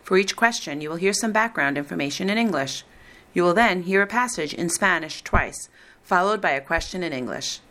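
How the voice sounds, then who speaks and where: A woman reading the script of a recorded listening exam in a slow, deliberate, evenly paced way. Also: on the low side, lively intonation, bright, forceful tone. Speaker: exam reader.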